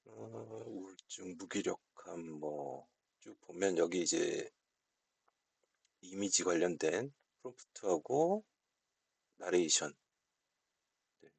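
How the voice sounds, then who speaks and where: A man giving a casual, unscripted software walkthrough, explaining politely step by step. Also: deep voice, animated, takes it slowly, creaky, long pauses, husky, no room sound. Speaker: software tutorial presenter.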